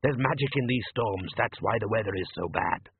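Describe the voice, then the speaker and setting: A man voicing a grave, knowing lord with a deliberate, authoritative manner, in a storybook reading. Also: fairly low pitch, husky, no echo, phone-quality sound.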